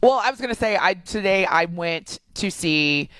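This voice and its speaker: A woman's voice: fairly low pitch, animated, fairly bright tone, smooth, no room sound. A woman co-hosting a radio talk show, bantering playfully and joking around in casual chat. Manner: playful, quick to joke and do impressions. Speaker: radio co-host.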